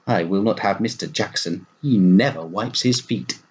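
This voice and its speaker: A man's voice: lowish voice, normal speed, close to an everyday voice. A man voicing a fussy, tidy little female wood mouse with a prim, scolding manner in a storybook. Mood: indignant.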